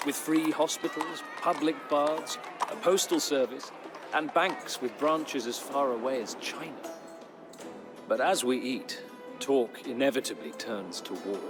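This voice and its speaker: An actor playing a weary captive Norman knight who chronicles his captivity in solemn, measured storybook narration for a strategy game. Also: mid-range voice, close to an everyday voice. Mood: solemn, reflective. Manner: solemn, measured, literary delivery with dramatic pauses. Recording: studio narration over clear background music.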